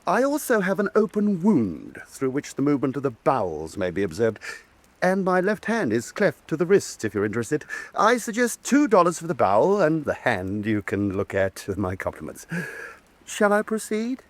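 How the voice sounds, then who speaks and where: A man's voice: a little high, huge pitch swings, moderate pace, dry recording. An actor playing a maimed, dignified male human curiosity in a radio drama, formal, precise and pompous. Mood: showman-like. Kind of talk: full-cast radio drama acting.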